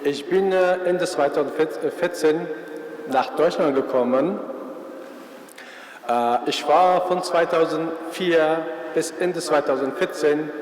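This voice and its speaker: A man from Afghanistan with an Afghan accent, telling his own story, halting and searching for words with many fillers. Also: on the high side, a little hiss or hum. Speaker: former military interpreter giving testimony. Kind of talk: personal account at a concert event. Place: large church. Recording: echoey church hall from far off.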